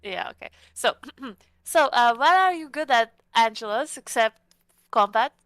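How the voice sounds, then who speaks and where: Woman, tabletop player: clear, bright voice on a dry mic, playful casual banter. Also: a little high, animated, a little slow, no room sound. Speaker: player in a tabletop roleplaying game. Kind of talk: casual in-character roleplay and table talk.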